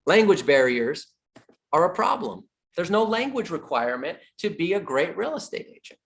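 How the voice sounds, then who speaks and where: A man's voice: on the high side, very animated, moderate pace, gravelly, fairly bright tone. A middle-aged man giving a sales training talk as a real estate coach, emphatic, repeating his key points and joking with the audience.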